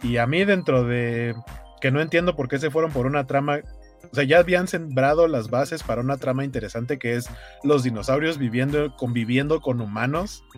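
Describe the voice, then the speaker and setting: A Mexican man with a mid-pitched voice talks in a casual, animated way, like someone telling stories to his viewers on a gaming news show. Music plays softly behind him as he chats. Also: brisk. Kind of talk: casual chat, reading viewer comments.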